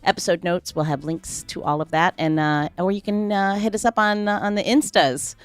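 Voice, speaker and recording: A woman hosting a podcast, presenting announcements in a clear conversational host's style. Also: lowish voice, moderate pace. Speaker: podcast host.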